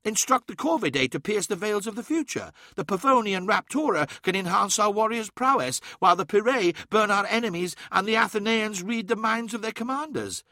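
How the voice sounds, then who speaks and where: A man's voice: high-pitched, lively intonation, brisk, hardly any pauses, pushed and bright, dry recording, studio quality, voice pushed up, heavily acted. A man voicing an anguished male sorcerer who pleads urgently and fiercely defends his home. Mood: defiant. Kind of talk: audiobook character voice.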